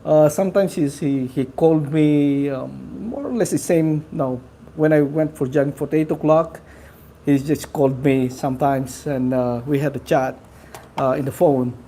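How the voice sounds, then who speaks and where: A man hosting a casual live stream, talking to his viewers in a halting way with many fillers. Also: a little high, fairly even intonation, warm tone. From Philippines. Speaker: livestream host.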